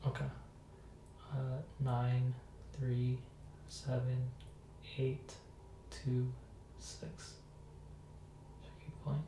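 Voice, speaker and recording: A man playing the patient in an ASMR medical roleplay, giving brief, cooperative answers and reading out slowly. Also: mid-range voice, monotone. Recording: echoey room with faint noise.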